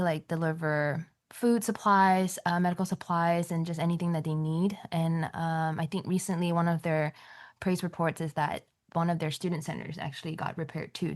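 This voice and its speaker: A woman's voice: lowish voice, dry recording, studio quality. A young woman from Malaysia, a nurse practitioner, talking in a casual church interview with many "um"s and "like"s. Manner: chatty, many fillers like "um" and "like". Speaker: nurse practitioner and missionary guest.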